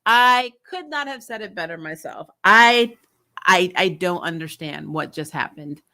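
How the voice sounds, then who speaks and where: A woman's voice: fairly low pitch, measured pace, bright, forceful tone. A woman hosting a casual review vlog, ranting and recapping in a blunt, sarcastic, exasperated way with some swearing. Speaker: host of a TV show review channel. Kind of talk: casual opinionated recap and rant.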